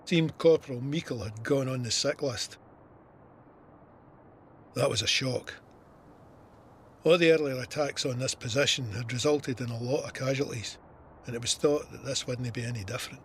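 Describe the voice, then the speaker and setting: A man's voice: medium pitch, normal speed, pauses often, slight background noise, close to an everyday voice. An actor playing a Scottish First World War corporal, telling his memories plainly in an audio drama. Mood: sombre recollection. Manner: plain-spoken, matter-of-fact storytelling. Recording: artillery and gunfire effects behind.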